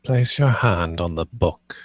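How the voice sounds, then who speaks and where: A man's voice: low-pitched, expressive, slow, no room sound, studio quality. A man playing a stern, controlling master of a household in a dramatic script read, speaking in clipped, formal commands. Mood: demanding, solemn.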